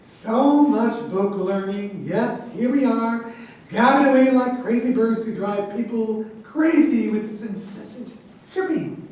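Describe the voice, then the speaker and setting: A man's voice: very high voice, unhurried, a little mellow, far from the mic. A man playing a self-important, argumentative military officer and professor in a staged play reading. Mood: exasperated. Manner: argumentative, grandiose. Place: echoey room at a staged reading. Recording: very echoey room recorded from afar.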